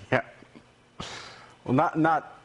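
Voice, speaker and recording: A man's voice: fairly high pitch, steady tone, extremely slow, slightly rough. A man answering interview questions in a dry, sardonic and somewhat hesitant manner. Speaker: interview guest, editor and prank caller. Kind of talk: interview recounting.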